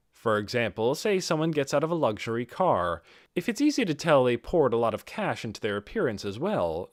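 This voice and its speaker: A man's voice: medium pitch, no room sound, clean studio sound. A man reading a fantasy novel aloud as scripted audiobook narration. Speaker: audiobook reader.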